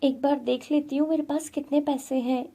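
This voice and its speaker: A woman's voice: high voice, fairly even intonation, fairly quick, dark tone. A woman voicing a worried, dutiful young village wife in an earnest, anxious story style.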